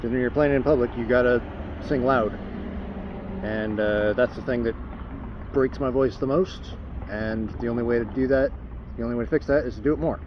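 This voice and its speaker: A man's voice: medium pitch, normal speed, long pauses. A man vlogging to camera outdoors, rambling and self-doubting with many ums, his voice worn from singing. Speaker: travel vlogger. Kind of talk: casual vlog talk to camera. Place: outdoors by roads and stores. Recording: slightly dull outdoor camera audio with clear street noise.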